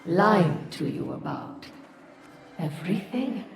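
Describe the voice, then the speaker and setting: A sinister corrupted game villain, slow and insinuating. The gravelly voice sounds distant amid clear noise. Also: male voice, a little high, very animated, exaggerated voice. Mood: taunting suspicion. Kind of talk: video game villain dialogue. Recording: distant, echoing, noisy game ambience.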